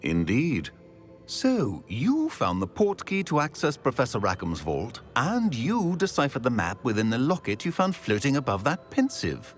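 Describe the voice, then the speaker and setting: An actor playing a courteous, secretive old wizard professor in a game cutscene, speaking gravely. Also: male voice, medium pitch, wildly swooping pitch, normal speed. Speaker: game character. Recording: faint music behind.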